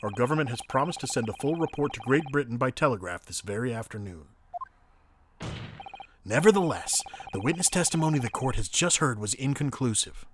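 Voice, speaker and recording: A man voicing a stern, authoritative courtroom judge in a game fan dub, speaking formally and gravely. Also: mid-range voice, animated, a little fast, background noise, lightly acted. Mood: solemn. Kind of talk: video game fan voice acting.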